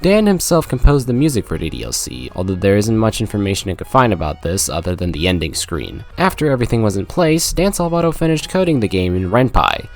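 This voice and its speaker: A man's voice: fairly low pitch, big pitch swings, quick pace, hardly any pauses. A young man reading a scripted video game review, with jokey, self-aware asides. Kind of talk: scripted video essay narration. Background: background music.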